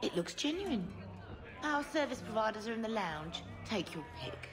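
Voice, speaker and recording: An actress playing a curt, haughty, businesslike brothel madam in a fantasy video game. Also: female voice, fairly high pitch, sing-song, a crowd in the background. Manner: haughty, brisk. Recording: game music behind the voice.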